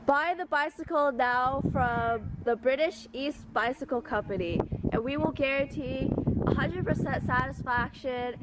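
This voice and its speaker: A woman's voice: sits high, a little slow. A teenage girl playing a pushy announcer in a mock advertisement, building up each offer. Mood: salesy. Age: teens. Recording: clear background noise.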